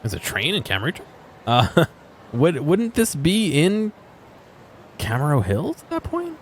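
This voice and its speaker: A man's voice: fairly high pitch, wildly swooping pitch, a little slow, slight background noise. A man giving casual, unscripted gameplay commentary, thinking aloud as he explores. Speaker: video game explorer and commentator.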